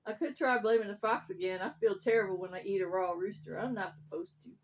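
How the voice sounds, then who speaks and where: A woman's voice: medium pitch, fast talker, a little hiss or hum, lightly acted, low-quality recording. Woman voicing a folksy, hungry farm dog. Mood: hungry, sheepish. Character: hungry, affectionate, guilty-minded farm dog.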